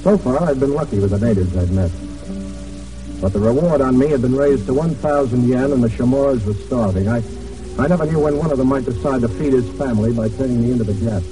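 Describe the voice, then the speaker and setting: An actor in an old radio drama playing a hunted American Navy man in hiding, weary but determined, speaking in a halting, earnest way. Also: medium pitch, natural voice. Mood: grim resolve. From United States. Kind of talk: old radio drama acting, first-person narration and dialogue. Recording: old radio broadcast, distant, music behind.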